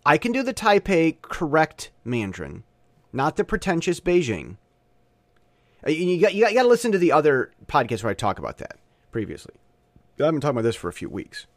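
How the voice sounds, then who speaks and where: A middle-aged man hosting a podcast, talking in a rambling, animated monologue full of restarts. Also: a little high, a little fast, dry recording. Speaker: podcast host. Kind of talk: casual monologue. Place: Taiwan.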